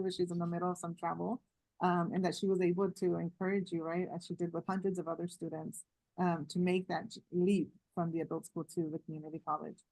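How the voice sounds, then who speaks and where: A woman hosts a community video call, her voice a touch low for a woman. She sounds encouraging and often checks in with "right?". The sound is dry and close. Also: no room sound, rough recording. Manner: warm and encouraging, tags "right?". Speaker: host.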